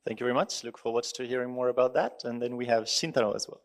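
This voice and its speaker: A man's voice: mid-range voice, steady tone, fast. A man moderating a panel discussion, introducing speakers and asking questions in an easygoing way.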